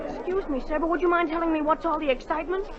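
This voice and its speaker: High-voiced, polite country boy, old radio. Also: fairly even intonation, rapid-fire, a loud crowd, very noisy. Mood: polite, eager. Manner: earnest, deferential, stammers when nervous. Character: polite, humble young cranberry-picking country boy. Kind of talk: 1940s radio acting. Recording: muffled 1940 radio recording.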